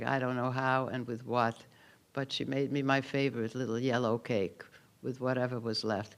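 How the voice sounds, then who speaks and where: A man in his eighties with a Hungarian accent, giving a personal testimony speech at a memorial ceremony, telling his story calmly with dry understatement. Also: mid-range voice. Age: 80s. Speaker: Holocaust survivor giving a keynote.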